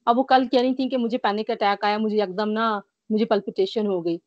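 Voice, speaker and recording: A woman's voice: medium pitch, fairly even intonation, a little fast, no room sound. A woman, tired and a little short of breath with a mild cough, earnestly describing her illness as an interview guest over a video call. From Pakistan. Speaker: guest recovering from covid. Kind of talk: remote interview. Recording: dry, dull lo-fi call audio.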